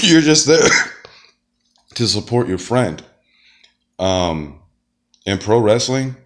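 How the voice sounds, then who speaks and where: A man talks in a loose, rambling monologue, full of fillers and false starts. His voice sits very low because he is sick. Now and then he coughs between phrases. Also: fairly bright tone. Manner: rambling, many fillers and restarts. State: sick, deep voice. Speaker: wrestler and comedian. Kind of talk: casual monologue.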